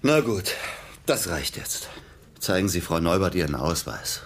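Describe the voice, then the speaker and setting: An actor playing a sly, formal publisher in a radio crime comedy, with a husky voice and measured, mock-indignant manner. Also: male voice, low voice, big pitch swings, slight background noise, full character voice. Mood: placating.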